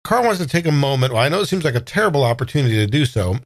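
A man playing a tabletop game, casually describing his character's actions in a deliberate, wordy, hesitant way. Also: medium pitch, sing-song, no room sound, clean studio sound.

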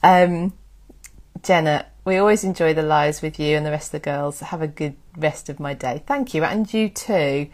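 A woman hosting a casual history live stream, chatty and excitable, thanking viewers by name. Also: low-pitched, normal speed. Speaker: history livestream host.

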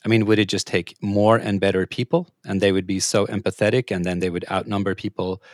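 A man from Norway asking an interview guest long, thoughtful questions, pausing and hesitating often. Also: lowish voice, little pitch movement, no echo, clean studio sound.

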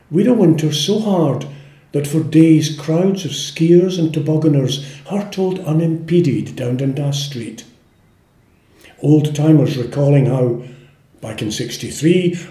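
A Scottish man in his eighties, a poet speaking and reading in a measured, formal way with careful pauses. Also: mid-range voice, dark tone. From Scotland. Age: 80s. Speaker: poet, interview guest. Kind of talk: literary interview with poetry reading. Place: indoor room with some echo. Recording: roomy, echoing indoor sound.

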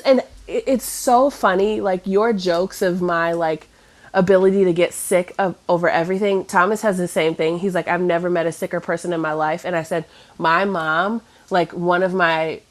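A woman in her thirties chatting casually, sounding stuffy and nasal from a cough, rambling with many fillers and restarts. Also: lowish voice. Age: 30s. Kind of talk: casual chat.

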